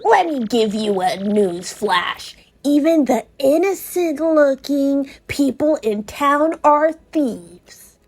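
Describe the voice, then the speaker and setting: Woman voicing a sassy, grumbling masked thief, high-voiced. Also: animated, slow, darkish tone. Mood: smug, lecturing. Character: sassy blue-cloaked masked thief, grudging and smug. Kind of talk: dramatic video game read-aloud.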